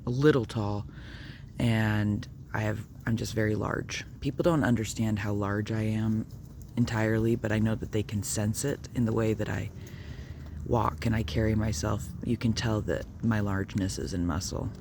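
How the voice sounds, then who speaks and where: A man with a low, gravelly voice muses aloud as he walks his dogs around the block. He talks in a soft, rambling way and now and then turns to speak to the dogs. The phone recording carries a lot of outdoor noise. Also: steady tone, moderate pace. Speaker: video blogger. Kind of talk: rambling walk-and-talk vlog. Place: outdoors on a neighborhood walk.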